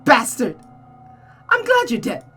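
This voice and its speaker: Visual novel role: unhinged young murderess, shrill and bright, dramatic, pleading, emotionally raw over music. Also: female voice, wildly swooping pitch, takes it slowly, breathy edge, heavily acted. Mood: spiteful, smug. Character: Rosa, spiteful, unhinged, abandoned young woman killer.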